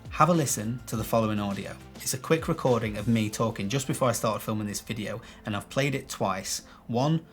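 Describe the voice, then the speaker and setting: A man with a low, bassy voice walks viewers through an editing trick in a chatty, self-deprecating tutorial. He is recorded on a shotgun microphone in a small room with some echo. Faint music plays softly underneath. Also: a little fast, few pauses. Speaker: video tutorial host. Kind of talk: casual tutorial talk to camera.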